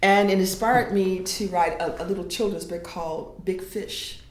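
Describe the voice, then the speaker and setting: A woman poet reading her verse slowly and dramatically, sinking to whispers at times, then talking casually about her writing. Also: medium pitch, steady tone. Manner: slow, dramatic reading with hushed whispered lines. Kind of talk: poetry reading and casual interview. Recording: echoey room.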